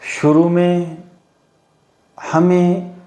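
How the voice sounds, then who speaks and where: A man teaching guided meditation, giving instructions in short phrases with long pauses between them. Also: high voice, monotone, warm tone. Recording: roomy echo on the voice.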